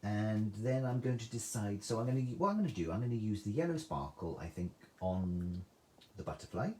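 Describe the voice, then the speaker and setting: A man's voice: on the low side, sing-song, brisk, warm tone. A man with a soothing voice, chatting through a live craft tutorial and reading out viewer comments. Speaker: craft demonstrator.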